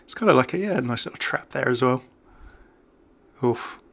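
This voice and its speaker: A man's voice: medium pitch, expressive, normal speed, creaky, fairly bright tone. A man giving casual, off-the-cuff game commentary as a YouTuber, chatty and jokey, rambling from one remark to the next. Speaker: gaming YouTuber.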